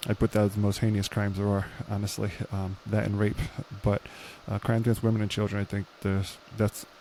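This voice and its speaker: A man hosts a podcast and asks his questions quickly and agreeably. His lowish voice stays flat and mellow as he talks fast. Faint background hiss. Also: low-quality recording. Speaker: podcast host. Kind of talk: casual interview chat.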